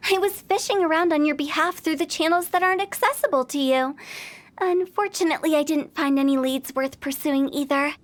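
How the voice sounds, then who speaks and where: A woman's voice: sits high, normal speed, natural voice. A woman playing a cheeky, playfully morbid young female undertaker in a video game, teasing and theatrical. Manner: teasing, theatrical, breezy about death. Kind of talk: video game character dialogue.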